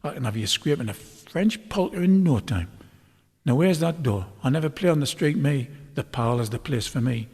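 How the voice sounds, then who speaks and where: A man voicing a gruff, cunning blind fiddler with a raspy snarl and rough, wheedling dialect, in a storybook reading. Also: medium pitch, lively intonation, normal speed, a little mellow, voice pushed up. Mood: jovial, boastful. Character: gruff, cunning old blind fiddler, quick to curse and cackle. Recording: long hall-like reverb.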